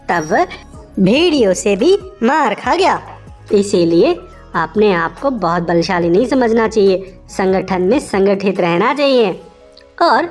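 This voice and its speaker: A woman narrating a children's moral story in an informal, conversational, improvised way. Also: medium pitch.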